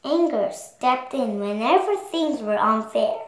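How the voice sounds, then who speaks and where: High-pitched young girl reading aloud. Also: expressive, roomy sound. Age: child, 7 years old. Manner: careful, halting reading aloud. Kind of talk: read-along storybook narration.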